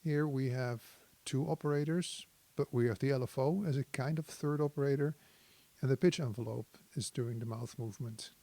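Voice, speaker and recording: Guy with a creaky voice doing a casual tech walkthrough, kinda halting, clicky noisy audio. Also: medium pitch, warm tone. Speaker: synthesizer demonstrator. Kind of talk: casual technical tutorial, thinking aloud.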